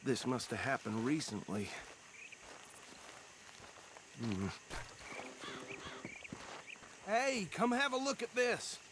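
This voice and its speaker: A man's voice: on the high side, wildly swooping pitch, normal speed. A man playing an eager, self-important small-town deputy in a western video game, with a Southern American accent and a chatty, folksy manner. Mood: alert. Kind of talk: cinematic video game acting. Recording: outdoor ambience, animals and wagon noise.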